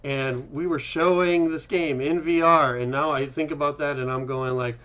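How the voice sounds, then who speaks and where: A man's voice: fairly high pitch, steady tone, normal speed, fairly bright tone, muffled recording. A man hosting a casual developer chat in an informal, conversational way. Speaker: host, game developer.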